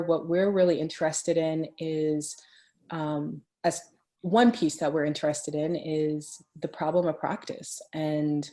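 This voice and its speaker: A woman answering questions in an online webinar, explaining at a measured pace with frequent ums. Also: sits low, gravelly, mellow. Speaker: program faculty answering questions. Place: home office on a video call. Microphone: computer microphone.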